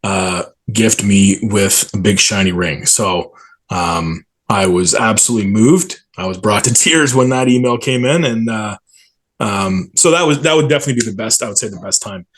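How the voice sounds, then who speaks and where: A man's voice: fairly low pitch, moderate pace, fairly bright tone. A man in his forties telling stories casually and enthusiastically as an interview guest, in colloquial language. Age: 40s. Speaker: stadium PA announcer, interview guest.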